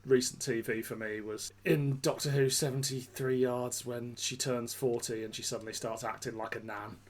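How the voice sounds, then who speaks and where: A man's voice: mid-range voice, little pitch movement, moderate pace. A British man hosting a podcast, talking casually with a rambling manner and chuckling often. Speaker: podcast host. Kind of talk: casual chat.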